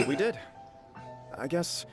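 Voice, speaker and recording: A man's voice: on the high side, lively intonation, takes it slowly. A man voicing an earnest, smitten young samurai in a video game, formal and gushing. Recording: game audio with clear background music.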